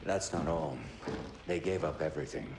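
A man's voice: a bass voice, moderate pace. A man playing a weary, dry-witted alien rebel pilot in a video game, talking matter-of-factly. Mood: serious, matter-of-fact. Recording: echoey ship interior, effects noise behind.

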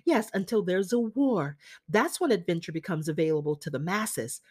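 A woman's voice: on the low side, lively intonation, normal speed, a little mellow, breathy edge. A woman reading a play aloud, voicing a restless, dreamy young man who speaks in a brooding, wry way.

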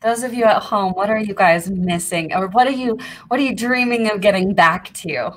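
Woman host, upbeat, encouraging, mid-pitched voice, faint hiss. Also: normal speed. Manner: upbeat, encouraging, addresses viewers at home. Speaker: show host. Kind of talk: live online show hosting.

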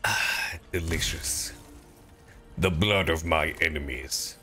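A man's voice: very deep voice, slow pace, pushed and bright, faint music behind. A man giving casual, playful gameplay commentary as a streamer, exclamatory and full of swearing. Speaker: streamer, voice actor.

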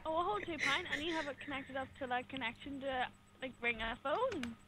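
A woman with a Northern Irish accent chatting politely and patiently as a customer service agent on a phone call, quick to giggle. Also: sits high. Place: phone line into a group video call. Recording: noisy phone call recorded off computer.

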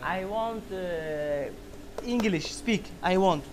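Brief: young man from Uzbekistan, loud playful vlogger, broken English, noisy kitchen. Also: high voice, very slow. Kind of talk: comic workplace vlog. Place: restaurant kitchen, indoors.

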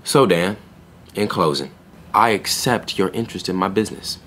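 An actor playing a proud, guarded young pet store clerk in an audio drama, speaking bluntly and sardonically. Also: male voice, low voice, moderate pace, a bit bright, a little hiss or hum. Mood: blunt, assertive. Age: young adult. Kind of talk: naturalistic audio drama acting.

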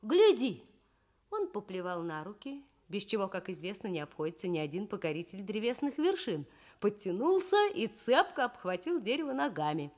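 A woman reading a children's story expressively for 1960s Soviet radio, with measured storytelling. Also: mid-range voice, very animated, darkish tone.

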